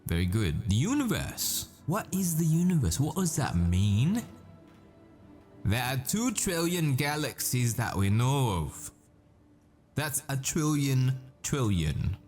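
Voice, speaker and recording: A man playing a patient, absorbed math professor who lectures with rhetorical questions and deliberate pauses in a scripted roleplay. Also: mid-range voice, sing-song, a little mellow, soft background music. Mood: absorbed, teaching.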